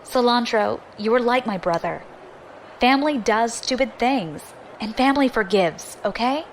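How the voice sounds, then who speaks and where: A woman's voice: on the high side, expressive, moderate pace, slight background noise, low-quality recording. A woman playing a plucky, friendly young adventurer in an audio drama, with a casual, informal manner. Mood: affectionate.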